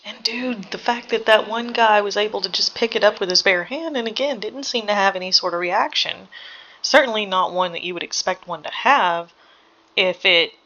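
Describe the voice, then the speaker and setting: A woman's voice: mid-range voice, moderate pace, pushed and bright, dull audio, a little hiss or hum. A woman giving casual reaction commentary on a game, musing analytically with a playful streak.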